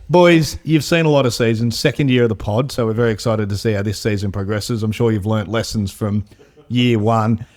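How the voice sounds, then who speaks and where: A man hosting a casual podcast in an office, jokey and quick to laugh. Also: fairly low pitch, darkish tone. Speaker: podcast host.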